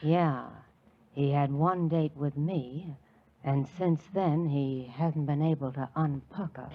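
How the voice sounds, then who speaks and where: A brassy flirting coed. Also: female voice, low voice, slow pace, noisy background. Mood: sultry. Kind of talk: 1940s radio comedy acting. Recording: tinny 1940s radio recording.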